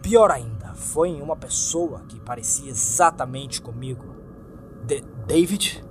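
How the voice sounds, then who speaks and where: A man's voice: mid-range voice, moderate pace. A man with a Brazilian accent reading a scripted horror story as narrator, in a dramatic, suspenseful, theatrical way. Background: music.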